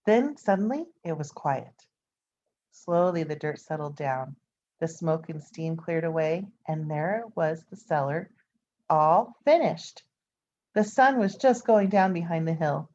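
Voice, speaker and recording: Woman, storybook reader, dark soft-edged tone, lowish pitch, expressive telling, informal asides, dull lo-fi recording. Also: measured pace. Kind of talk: reading a children's picture book aloud. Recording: dull, lo-fi sound.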